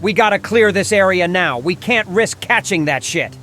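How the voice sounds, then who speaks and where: A man playing a gruff, short-tempered army sergeant who barks orders in a dramatized audio comic. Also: high-pitched, animated, moderate pace, fairly bright tone, slight background noise. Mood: angry, impatient. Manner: barking, commanding.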